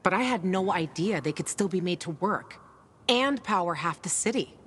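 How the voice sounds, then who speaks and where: A woman's voice: fairly low pitch, animated, moderate pace, fairly bright tone, slight background noise. An actress playing a tough, sardonic young woman guiding a newcomer, brash and teasing, in a game cutscene. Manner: brash, teasing, swears freely.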